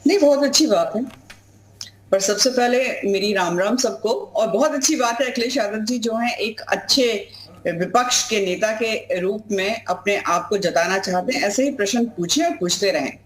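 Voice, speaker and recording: A woman's voice: medium pitch, normal speed, slight background noise. A woman party spokesperson arguing assertively in a TV debate, joining by remote call. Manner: assertive, argumentative. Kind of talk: political debate argument. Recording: echoey remote room audio.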